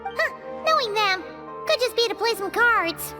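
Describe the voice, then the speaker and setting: Tiny squeaky fairy sidekick, super high childlike voice, excitable anime game stuff, music behind. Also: female voice, unhurried. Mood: curious. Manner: excitable, speaks of self in third person. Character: small, bubbly fairy companion with a childlike chirp. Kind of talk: English anime game dub acting.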